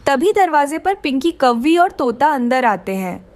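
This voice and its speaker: A woman narrating a children's cartoon story with expressive storytelling. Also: sits high, measured pace.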